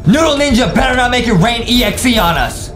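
Young guy hyped up doing game reaction commentary, high voice, slangy, repeats stuff, music behind him. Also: lively intonation, fairly quick. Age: young adult. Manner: hyped, repeats phrases, slangy, often shouting. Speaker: gaming reaction video host. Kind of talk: excited gameplay reaction commentary.

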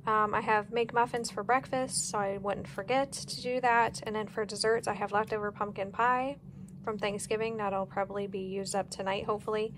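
A woman's voice: mid-range voice, fairly even intonation, normal speed. An American woman talking casually and plainly as a home vlogger, easygoing, recorded on a handheld device in a kitchen. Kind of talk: casual grocery haul and meal plan narration. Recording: noticeable background noise, home kitchen.

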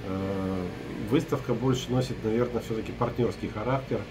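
A man in his forties from Russia talks into a close laptop camera in a hotel room at night. His voice sits fairly low and comes in stops and starts, padded with filler sounds. A steady air-conditioner hum runs clearly underneath. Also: moderate pace. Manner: hesitant, many filler sounds and restarts. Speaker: property broker. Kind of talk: casual recap to camera.

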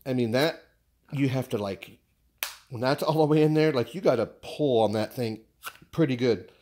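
A man with an American accent chatting casually and haltingly, thinking aloud as he shows off purchases in a living room. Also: mid-range voice, warm tone, slight background noise. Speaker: vlogger showing purchases. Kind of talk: casual unboxing chat.

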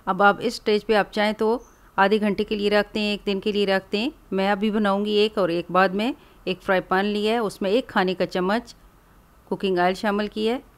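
Woman, from Pakistan, cooking channel host, calm instructive voice-over, mid pitch. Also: fairly quick, smooth. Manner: calm, instructive, explains each step plainly. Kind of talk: step-by-step cooking tutorial voice-over.

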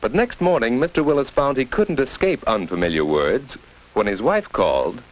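A man's voice: mid-range voice, very animated, moderate pace. An American man reading scripted narration for a 1940s educational film, on a muffled period soundtrack.

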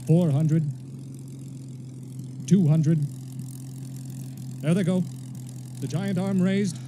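Over a loud engine drone, a resolute army pilot reports in a raised-pitch voice. His phrases are clipped and military, as in an old radio play. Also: noisy background. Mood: desperate. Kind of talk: 1930s radio drama acting.

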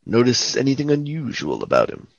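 A man acting a deadpan, polite knight detective in a parody of fifties radio police drama, with a husky voice. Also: mid-range voice, fairly quick, no echo, highs cut off, full character voice. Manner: deadpan, clipped police patter.

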